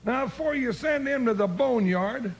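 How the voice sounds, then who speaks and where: High, husky folksy cowboy sidekick. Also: male voice, animated, moderate pace, heavily acted. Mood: earnest, stubborn. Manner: drawling frontier slang, plain-spoken and earnest. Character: big folksy cowboy deputy, loyal comic sidekick. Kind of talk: 1950s radio western acting.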